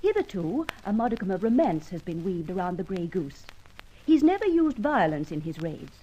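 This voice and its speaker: An actress playing a thief's sceptical, concerned British confidante in an old-time radio drama, formal and reproving. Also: female voice, mid-range voice, big pitch swings, moderate pace, dark tone, a little hiss or hum, close to an everyday voice, poor audio. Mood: grave reading aloud.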